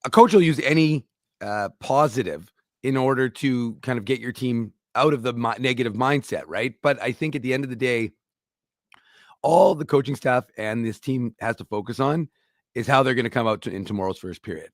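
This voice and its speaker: A man's voice: medium pitch, no echo, clean studio sound. A man hosting a sports talk show, chatting emphatically about hockey. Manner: emphatic, quick to agree. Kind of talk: casual sports chat.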